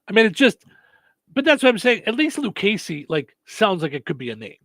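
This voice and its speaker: A man's voice: sits high, sing-song, fairly quick, fairly bright tone, no room sound. A man hosting a radio show in casual chat, a comedian telling rambling stories full of fillers and restarts. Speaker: stand-up comedian.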